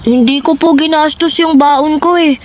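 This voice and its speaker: Very high childlike schoolboy voiced by a woman. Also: steady tone, moderate pace, natural voice. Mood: sweet, innocent. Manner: polite, childlike. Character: sweet, dutiful young schoolboy son. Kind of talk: Tagalog radio drama acting.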